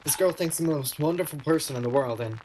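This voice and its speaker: A woman playing a prickly, nervous, lovestruck male shop clerk in a radio play, with a flustered, halting delivery. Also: low voice, dark tone, a little hiss or hum, lightly acted, low-quality recording. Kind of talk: virtual radio play acting.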